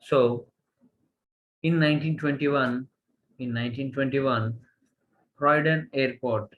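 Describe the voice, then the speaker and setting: An Indian man delivers a college lecture at a very slow pace, in a medium-pitched voice. He speaks haltingly and often repeats a phrase to stress it. A faint background noise sits under the recording. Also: fairly even intonation, phone-quality sound. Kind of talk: slide lecture, reading and explaining.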